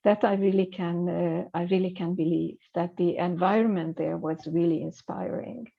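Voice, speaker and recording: Low gravelly woman, hesitant scholar. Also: fairly even intonation, normal speed, narrow, tinny audio. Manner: hesitant, many fillers and restarts. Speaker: scholar guest. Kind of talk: conversational interview.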